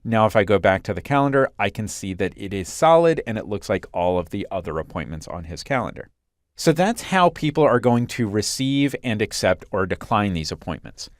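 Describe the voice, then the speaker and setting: Man narrating a software tutorial, lively intonation. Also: on the low side, brisk, no room sound, clean studio sound. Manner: clear step-by-step explaining. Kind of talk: instructional screencast narration.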